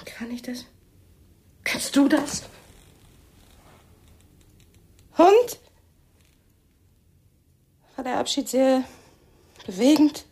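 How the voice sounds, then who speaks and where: An actress playing a wounded, jealous wife in a radio crime drama, breathy and pointed, with biting sarcasm. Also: female voice, high-pitched, animated, slow, pauses often, a little mellow, heavily acted. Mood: sarcastic.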